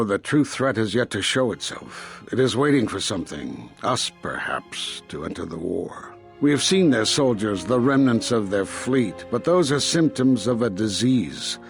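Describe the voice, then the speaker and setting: An older man playing a stern, cautious Jedi master in a video game, grave, deliberate and emphatic. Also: lowish voice, very animated, soft background music. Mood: grave, insistent. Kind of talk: video game dramatic acting.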